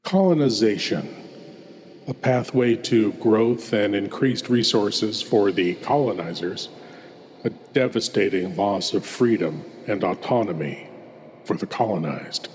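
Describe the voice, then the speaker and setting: A man plays a ghoulish lord who hosts horror tales. His delivery is slow and theatrical, with deliberate pauses. Long, hall-like reverb surrounds every word. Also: on the low side, lively intonation, slight background noise. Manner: dramatic, deliberate, hushed at times. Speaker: horror show host.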